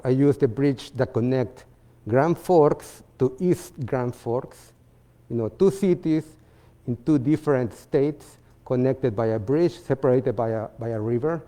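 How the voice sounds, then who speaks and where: A man from Peru with a Peruvian Spanish accent, presenting his artwork in a seminar talk, halting with fillers and restarts. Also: medium pitch, mellow. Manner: halting, many fillers and restarts, non-native phrasing. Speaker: visiting artist giving a seminar talk.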